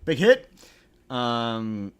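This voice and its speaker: A man's voice: mid-range voice, very animated, extremely slow, clean tone. A male podcast host with a mid-range voice talks through entertainment news in a casual, opinionated way. He rambles, restarting and repeating phrases as he works toward his point. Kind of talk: casual pop-culture news chat.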